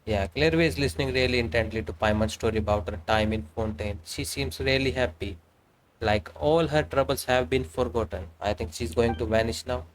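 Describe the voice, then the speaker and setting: A man's voice: mid-range voice, brisk, slight background noise, poor audio. Chatty man streaming a game. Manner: informal, chatty, talks to the game and chat. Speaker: game livestreamer. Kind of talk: casual live reaction commentary.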